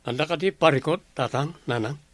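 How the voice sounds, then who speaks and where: A man's voice: fairly high pitch, brisk, dry recording, close to an everyday voice. A man playing a frustrated, defensive young village son in a radio drama, with an Ilocano Filipino accent.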